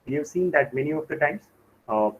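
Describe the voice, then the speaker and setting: A man from India teaching a live online class, speaking slowly with many hesitations and fillers. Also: fairly high pitch, expressive. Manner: slow, halting delivery with frequent "uh" fillers. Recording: dull lo-fi call audio with faint noise.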